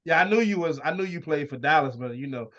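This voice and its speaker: A man with a slightly high voice hosts a casual interview. His talk is informal and full of slang, with some swearing. The sound is muffled and lo-fi, like a weak internet video call. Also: lively intonation, fast, bright, forceful tone. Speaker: interview show host. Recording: muffled low-quality internet call audio.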